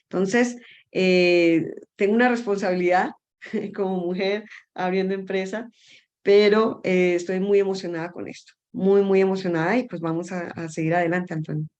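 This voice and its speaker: A woman's voice: medium pitch, steady tone, normal speed, darkish tone, lo-fi sound. A middle-aged woman talking casually as an interview guest, often searching for words and repeating them. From Colombia. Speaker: interview guest, energy company founder.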